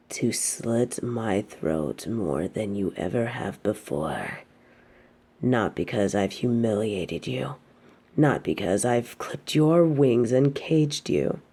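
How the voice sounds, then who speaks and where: Woman playing a slow, low-burning, mellow evil queen. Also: sing-song, creaky, breathy edge, full character voice. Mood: menacing, seductive. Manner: taunting, imperious, formal wording, lingering delivery. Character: evil possessive dictator queen, cold, cruel, seductive. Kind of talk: scripted audio roleplay monologue.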